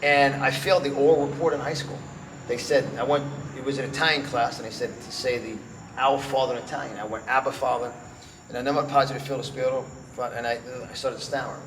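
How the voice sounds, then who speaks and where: A middle-aged man with a New York accent talks rapidly and emphatically, like a motivational speaker promoting an event. He repeats phrases to drive them home. The room is echoey, with clear noise behind him. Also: medium pitch, steady tone. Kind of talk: promotional interview talk with personal storytelling. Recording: roomy echo, clear background noise.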